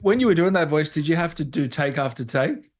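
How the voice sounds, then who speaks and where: A man's voice: a little high, animated, a little fast. A man hosting a casual interview, asking questions and interjecting informally with enthusiasm. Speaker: interview host.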